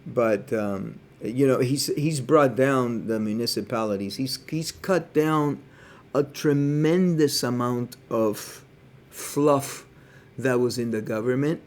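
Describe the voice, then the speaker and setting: A man's voice: medium pitch, slow. Earnest man from Cuba on a podcast. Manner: earnest, opinionated, thinks aloud with hedges. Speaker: podcast co-host. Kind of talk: casual podcast chat.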